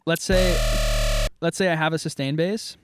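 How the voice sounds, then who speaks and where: Profane young producer chatting casually. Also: male voice, fairly high pitch, steady tone, over loud music. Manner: loose, profane, quick asides. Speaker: music producer. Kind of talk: casual studio chat.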